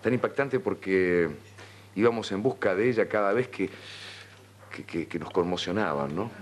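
Low-voiced Rioplatense man hosting. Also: moderate pace, a little hiss or hum. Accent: Rioplatense Spanish. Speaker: television host. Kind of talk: casual live hosting chat. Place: television studio.

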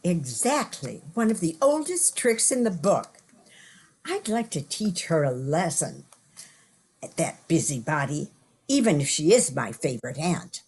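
An older woman playing a stubborn, exasperated newspaperwoman in a radio play, husky and theatrical, over a video call. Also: deep voice, big pitch swings, unhurried, a little mellow, heavily acted. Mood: indignant, scheming. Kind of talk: old-time radio play acting.

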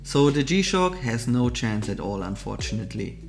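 A man's voice: medium pitch, big pitch swings, normal speed, gravelly. Man narrating a watch-shopping vlog. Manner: enthusiastic, explanatory product commentary. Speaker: vlogger, watch channel host. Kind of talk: scripted vlog voice-over. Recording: soft music underneath.

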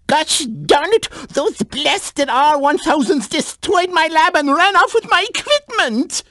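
A woman playing an excitable, flustered scientist in a video game, stammering and exclaiming. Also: high voice, takes it slowly, no echo, clean studio sound, heavily acted. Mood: exasperated. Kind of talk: animated video game character dialogue.

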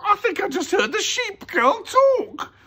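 Here is a pompous storybook burglar, performed by a man, self-important and easily rattled. He stretches his words grandly and very slowly. The pitch leaps about in a highly animated way. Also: vocal fry, exaggerated voice. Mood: alarmed. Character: Luther, theatrical male art thief, self-important and jittery.

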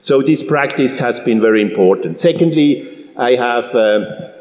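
Swiss-born man in his fifties, halting. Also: medium pitch, expressive, darkish tone. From Switzerland. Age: 50s. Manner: halting, many restarts and fillers. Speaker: guest speaker. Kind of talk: public talk. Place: large church hall. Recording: roomy hall with long reverb.

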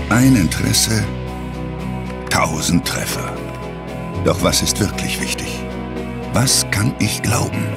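A man reads a scripted promotional narration in a deep voice. Loud guitar music plays behind him. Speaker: advertising voice-over. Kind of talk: scripted image-film narration.

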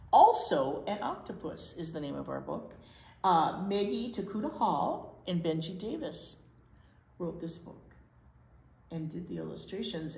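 Expressive older American woman reading to children. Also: medium pitch, gravelly. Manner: expressive, explains things simply for children. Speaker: children's storytime reader at a library. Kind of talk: read-aloud picture book storytelling. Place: library children's room, indoors. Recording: roomy, echoey indoor sound.

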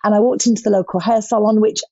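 A woman in her sixties, a guest telling lively stories from her childhood over a video call. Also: mid-range voice, quick pace, no room sound. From Scotland and southern England. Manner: lively, expressive, gestures a lot with her hands. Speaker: skincare brand founder, guest. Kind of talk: storytelling interview answers. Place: bright living room at home. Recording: dry, slightly dull video-call audio.

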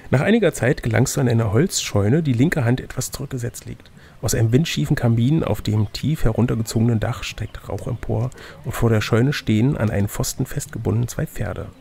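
A man with a raspy, slightly creaky voice acts as game master for an adventure gamebook. His pitch is of middle height, and he moves between reading aloud and casual banter. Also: a little fast, warm tone.

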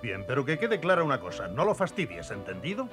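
A man voicing a gruff, commanding old gnome captain in a cartoon, easily exasperated, with music behind. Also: mid-range voice, huge pitch swings, a little fast. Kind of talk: cartoon dub acting.

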